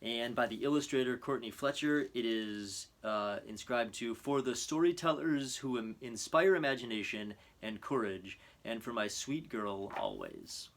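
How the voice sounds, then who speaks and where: A man presenting and reading a children's storybook aloud in an earnest, expressive, measured way. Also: mid-range voice. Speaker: storybook reader.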